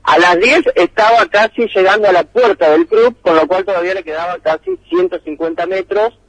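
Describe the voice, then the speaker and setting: A man's voice: high voice, expressive, brisk, breathy edge, dry recording, rough recording. Man reporting by phone, hesitant. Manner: meandering, hesitant with many fillers. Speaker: radio reporter.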